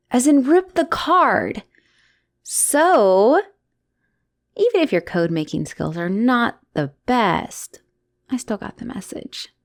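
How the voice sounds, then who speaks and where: Woman voicing unhinged lovestruck young woman, asylum patient, mid-pitched, doting, theatrical, sly menace, audio roleplay. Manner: theatrical, doting, swinging between gushing and hushed menace. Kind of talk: dramatic roleplay audio, addressed to a lover.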